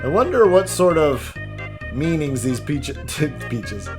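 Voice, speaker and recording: A man's voice: a little high, big pitch swings, slow pace. A man hosting a casual step-by-step drawing tutorial, talking in a chatty, jokey way as he thinks aloud. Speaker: drawing instructor and host. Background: background music.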